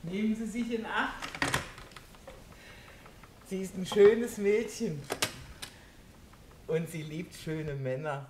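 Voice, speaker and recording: A woman's voice: mid-range voice, slow, pauses often, close to an everyday voice. Casting: woman as hospitable, talkative female concierge in radio crime play, slightly hesitant; faint noise behind. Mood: curious, teasing. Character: chatty, hospitable apartment building concierge. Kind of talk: German radio crime drama acting.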